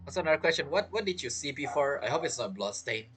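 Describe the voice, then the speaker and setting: Woman doing chatty playthrough commentary, lowish voice that jumps around, game music under it. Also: very animated, fast, a bit bright. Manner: chatty, reacting aloud in fragments. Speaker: gaming streamer.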